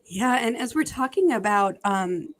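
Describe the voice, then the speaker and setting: A woman hosting a podcast, moderating a panel in a gentle, measured way with hesitant fillers. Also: mid-range voice, lively intonation. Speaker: podcast host.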